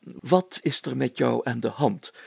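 A man's voice: mid-range voice, vocal fry, darkish tone, slightly rough, no echo, exaggerated voice. A man voicing a pompous, fatherly and easily flustered village mayor in a storybook, stammering and drawing out his phrases. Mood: puzzled. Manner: stammering, deliberate, drawn-out phrasing.

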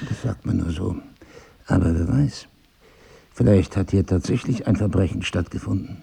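An actor playing an intellectual, probing amnesiac crime writer in a naturalistic radio drama, husky and sardonic. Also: male voice, sits low, moderate pace, warm tone, heavily acted. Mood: musing, probing. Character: brooding amnesiac crime writer husband, cerebral, suspicious of himself.

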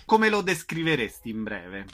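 Mid-pitched man asking interview questions. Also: sing-song, normal speed, fairly bright tone.

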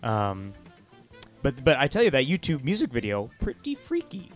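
A man in casual conversation, halting and stammering as he interrupts himself, with an excitable manner. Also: medium pitch, faint music behind. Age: 20s. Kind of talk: casual chat.

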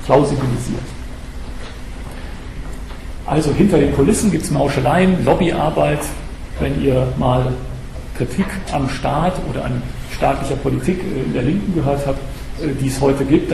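A slightly hoarse man giving an academic lecture, speaking straight to his audience with frequent hesitation sounds and restarts. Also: fairly high pitch. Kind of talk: academic lecture on political theory. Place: lecture room. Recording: echoey room recording with background noise.

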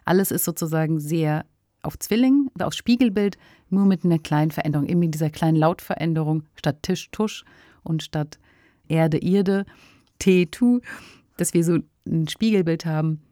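Woman, very low pitch, soft mellow tone, conversational podcast co-host. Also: no room sound, studio quality. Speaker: podcast co-host, fiction writer. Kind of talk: conversational literary discussion.

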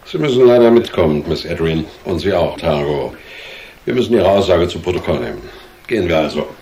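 An actor playing a dry, businesslike police detective in a German radio drama, questioning in a measured, insistent way. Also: male voice, sits low, lively intonation, a crowd in the background. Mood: probing, dry.

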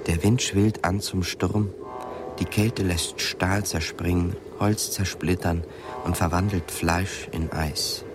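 A man narrating a literary radio drama in a measured, grave, deliberate manner. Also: sits low, fairly even intonation, music in the background.

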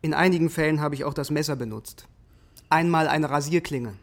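An actor playing a defiant, unrepentant soldier on trial in a radio drama, speaking in clipped, contemptuous phrases. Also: male voice, fairly high pitch, a little fast, natural voice. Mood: defiant, bitter.

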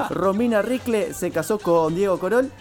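Argentinian guy w really high voice, chatty radio cohost, bit of music behind. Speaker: radio show co-host. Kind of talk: casual chat.

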